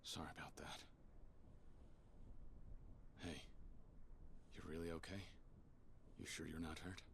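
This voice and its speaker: A stoic young yakuza, protective and terse. His voice is very low and gravelly, gruff in the manner of a dramatic game cutscene. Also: level tone, slow, a bit bright, slight background noise, heavily acted. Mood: calm, concerned. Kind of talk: dramatic English video game dub.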